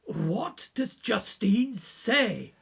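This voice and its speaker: A woman's voice: mid-range voice, big pitch swings, very slow, vocal fry, a bit bright, slightly rough, heavily acted. A woman playing a courteous, concerned professor in a radio play, formal and questioning. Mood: urgent. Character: concerned, courteous professor, a sceptical family friend.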